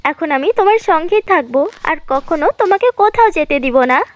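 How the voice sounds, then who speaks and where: A woman's voice: very high-pitched, lively intonation, moderate pace. A woman voicing a sad, pleading seven-year-old girl in a cartoon fairy tale. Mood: sad, longing. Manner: plaintive, childlike pleading.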